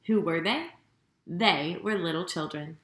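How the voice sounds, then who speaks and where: A woman pastor hosting storytime for young children, talking and reading aloud in an animated, sing-song manner straight to her listeners. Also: on the low side, a little slow, echoey room.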